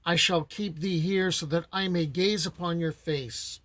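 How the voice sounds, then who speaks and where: A dragon from a storybook tale, ancient and courtly, speaks in slow, archaic phrases, as a man narrating puts him on. The voice sits a touch high for a man. The recording is close and dry. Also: steady tone, a bit bright, no echo. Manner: archaic, stately speech.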